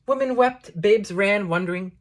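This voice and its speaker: Woman reciting poetry in measured phrases. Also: medium pitch. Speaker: poetry reader introducing a book. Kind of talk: recited poetry reading with short spoken introduction.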